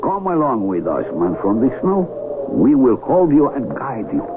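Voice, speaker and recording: An actor playing a bossy, suspicious doctor from an isolated village in a radio drama. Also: male voice, mid-range voice, very animated, moderate pace, lightly acted. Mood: commanding. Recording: tinny, very noisy old radio broadcast.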